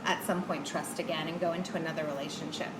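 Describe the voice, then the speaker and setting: A woman's voice: sits low, fairly even intonation, quick pace. A woman in her thirties chatting casually as a podcast guest, giving advice in a reassuring way. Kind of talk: casual conversational chat. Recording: roomy echo, clear background noise.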